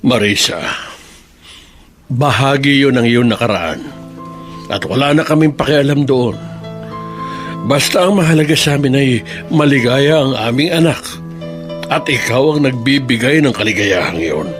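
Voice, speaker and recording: An actor as a soft-spoken older dad in a radio drama, earnest and gentle, with a mid-range man's voice and music playing behind him. he speaks plainly and calmly, like an ordinary father. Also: medium pitch, moderate pace, pauses often, lightly acted. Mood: reassuring. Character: Ernesto, older father pleading for his son. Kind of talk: Tagalog radio drama acting.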